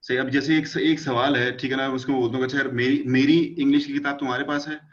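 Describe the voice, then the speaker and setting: A man's voice: mid-range voice, level tone, quick pace, highs cut off. A man explaining animation with everyday examples, full of casual fillers. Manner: talkative, fillers like "matlab" and "achha yaar".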